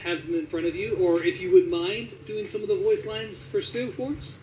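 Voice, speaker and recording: A man's voice: high voice, moderate pace, a little mellow, recorded from a distance, slight background noise, low-quality recording. A man hosting a casual interview, with a hesitant, stumbling way of phrasing questions. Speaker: interviewer, host.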